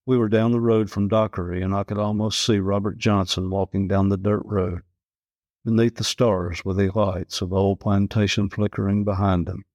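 A man's voice: on the low side, little pitch movement, moderate pace, darkish tone, no room sound. A man reading a literary travel story aloud as scripted narration.

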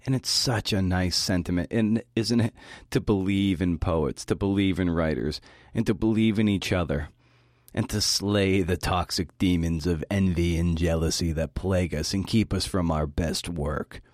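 Podcast host: male with a deep voice, scripted narration delivery, very dry sound. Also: moderate pace, darkish tone, no room sound. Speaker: podcast host giving a talk. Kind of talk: scripted talk narration linking interview clips.

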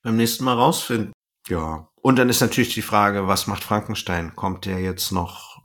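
A man's voice: on the low side, moderate pace, fairly bright tone. A man co-hosting a podcast, enthusiastically reviewing an audio drama in a casual chat and speculating about the plot. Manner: enthusiastic, speculative, small hesitations. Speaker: podcast co-host.

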